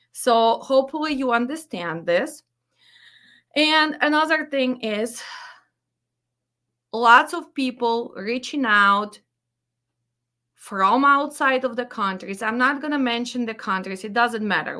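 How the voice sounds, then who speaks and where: Slow, bright Ukrainian-accented woman. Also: a little high. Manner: direct, firm and blunt. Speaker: trucking dispatch trainer. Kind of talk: promotional webinar announcement.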